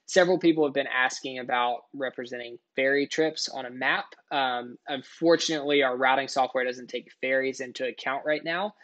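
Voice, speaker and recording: A man talks through an on-screen tutorial in a relaxed, conversational way, one step at a time. His voice sits at a middle pitch. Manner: casual, step-by-step explaining. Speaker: product tutorial presenter. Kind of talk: screen-recording tutorial voice-over.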